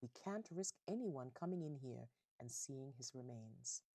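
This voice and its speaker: A proud, shrewd father, acted by a woman storyteller, gives orders in a low, husky voice. He is blunt and commanding, a man of street smarts in a retold tale. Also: animated, unhurried, dark tone, no echo, heavily acted.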